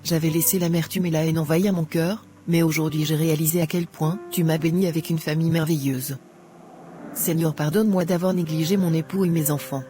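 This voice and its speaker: A woman's voice: low-pitched, steady tone, a little fast, soft background music, lightly acted. A woman playing contrite returning mother. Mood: prayerful. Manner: soft, contrite. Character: repentant mother returning to her family.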